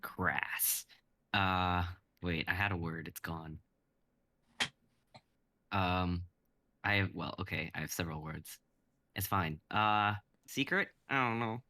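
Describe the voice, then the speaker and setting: A man's voice: low-pitched, slow, long pauses, dry recording. A young man introducing his own script on a live stream, rambling and interrupting himself, from a home mic. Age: young adult. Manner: rambling, self-interrupting, animated. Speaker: playwright presenting his script. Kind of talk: casual chat.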